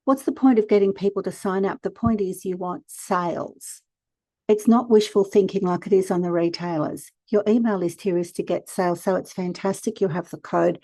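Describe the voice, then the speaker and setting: A hoarse woman, hosting a casual screen-share tutorial and giving chatty, direct, practical advice. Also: mid-range voice, dark tone, no room sound. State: hoarse from a cold. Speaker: e-commerce consultant and channel host.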